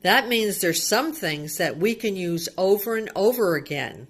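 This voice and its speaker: Lady teacher reading a kids book out loud, takes it slow, repeats stuff, asks the kids little questions. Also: mid-range voice, animated, husky. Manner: simple child-directed talk with repetition and questions. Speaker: teacher reading a picture book to young children. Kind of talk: read-aloud storytime with simple asides to children.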